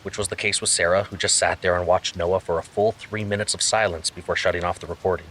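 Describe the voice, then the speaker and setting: An actor playing an earnest amateur investigator, reasoning aloud as a conversational podcast narrator in an audio drama. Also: male voice, low voice, fairly even intonation, fast, fairly bright tone, slight background noise, rough recording. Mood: explaining, wry. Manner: reasoning aloud, self-questioning.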